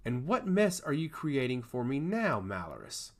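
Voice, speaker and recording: A man's voice: medium pitch, big pitch swings, normal speed. A man voicing a stern goddess of law in a storybook, scolding in formal, emphatic speech. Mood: weary disdain. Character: stern, disdainful goddess of law, easily annoyed.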